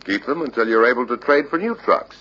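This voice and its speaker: An actor playing a courteous truck salesman in a scripted training film, making a polite, reasoned pitch. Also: lively intonation, a little fast, natural voice. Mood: persuasive. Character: persuasive, courteous truck salesman, adult man. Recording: dull lo-fi old training film soundtrack.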